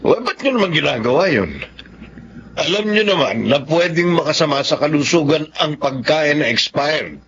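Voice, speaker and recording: A man's voice: a little high, lively intonation, normal speed, fairly bright tone, slightly rough, heavily acted. Stern Filipino policeman. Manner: formal, admonishing. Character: stern, lecturing male police officer. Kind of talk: Filipino radio drama acting. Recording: dull, faintly noisy track.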